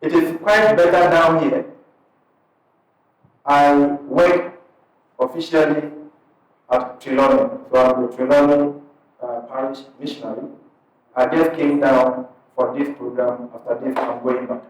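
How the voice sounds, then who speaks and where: A man from Ghana with a Ghanaian accent, an imam speaking to a gathered audience in a conversational, unhurried way, breaking his sentences into short pieces. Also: mid-range voice, little pitch movement. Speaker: imam and parish missionary. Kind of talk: addressing an audience at a community event. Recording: very echoey hall, speaker far from the mic.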